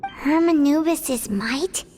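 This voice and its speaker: Young female game companion, high-pitched, inquisitive, music clearly behind. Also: slow pace, darkish tone. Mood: puzzled.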